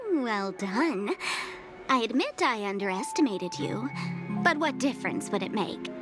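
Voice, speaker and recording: Slow, mocking, high-voiced trickster. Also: female voice. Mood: coy, cryptic. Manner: coy, sing-song taunting. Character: playful, mocking female trickster with a dangerous streak. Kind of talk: video game voice acting. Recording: music under the voice.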